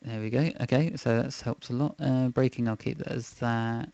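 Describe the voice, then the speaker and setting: A man with a croaky, rough-edged voice talks his way through setting up a racing game on a live stream, relaxed and thinking aloud. His pitch sits in the middle, and the close microphone sounds dry, with no room around it. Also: moderate pace, dry recording, highs cut off. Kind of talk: casual gaming stream chat. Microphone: desk microphone.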